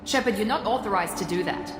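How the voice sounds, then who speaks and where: A woman's voice: mid-range voice, fast talker, over music, natural voice. An actress playing a stern, haughty female second in command in a video game, clipped and imperious.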